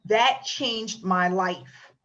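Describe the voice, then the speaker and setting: A woman hosting a motivational webinar, telling her story in an animated way and acting out the quotes. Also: medium pitch, extremely slow. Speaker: webinar host. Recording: lo-fi online call audio.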